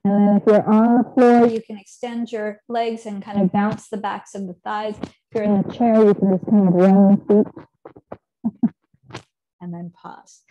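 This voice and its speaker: A woman in her thirties leads a seated yoga practice at a middle pitch, her cues calm and unhurried. Her phrases often drift softly down at the ends. She sounds a little distant, as if caught by a camera across a living room. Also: highs cut off. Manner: calm, unhurried cueing with soft trailing endings. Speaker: yoga teacher. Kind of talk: guided yoga instruction.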